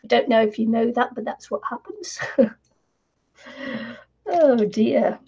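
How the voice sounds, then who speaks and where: Older woman craft host, croaky and gravelly, rambling and friendly, lo-fi live stream sound. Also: fairly high pitch, lively intonation, slow, warm tone. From New Zealand. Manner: chatty, laughs often, many fillers. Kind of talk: casual live stream chat. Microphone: computer mic on live stream. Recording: dull lo-fi stream audio.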